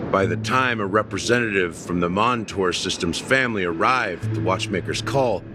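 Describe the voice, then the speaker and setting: An actor voicing a scheming middle-aged man in a game cutscene, measured and wry as he explains. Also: on the low side, natural voice. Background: game score music.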